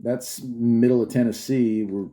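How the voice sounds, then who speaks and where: A man's voice: medium pitch, steady tone, measured pace, mellow. A man casually hosting a gadget demonstration on video, rambling along with enthusiasm. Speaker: YouTube host. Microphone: phone camera held by another person. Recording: faint background noise.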